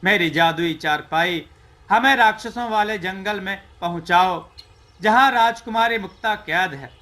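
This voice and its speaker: A man's voice: sits high, measured pace, bright, forceful tone. A man voicing a confident young storybook prince with an earnest, declamatory delivery. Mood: confident, resolute. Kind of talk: storybook character dialogue.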